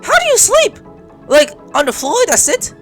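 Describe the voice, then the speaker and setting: Animated woman game streamer, musing aloud. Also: extremely high pitch, moderate pace. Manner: reacts off the cuff, musing aloud. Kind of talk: casual playthrough commentary. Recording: game music behind the voice.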